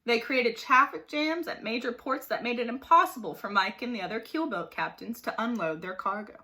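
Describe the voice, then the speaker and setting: Female storybook narrator, teacherly, slightly high and bright, expressive with dramatic emphasis. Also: normal speed. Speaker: teacher reading aloud. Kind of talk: animated storybook narration for children.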